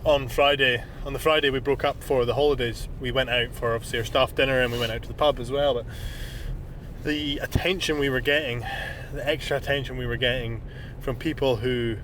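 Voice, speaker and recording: A man's voice: medium pitch, fairly even intonation, a little fast, a bit bright, a little hiss or hum. Stuffy-nosed young Scottish man. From Scotland. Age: 20s. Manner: candid, chatty, with fillers and "uh". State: congested with a cold. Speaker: vlogger and business owner. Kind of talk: casual vlog narration and chat. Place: outdoors at a job site, snowy street.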